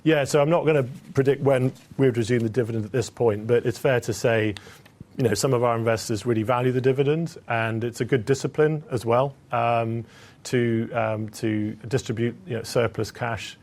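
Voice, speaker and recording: A man speaking as a company executive, answering analysts on an earnings call in a hesitant, halting, measured way. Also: mid-range voice, steady tone, a little mellow.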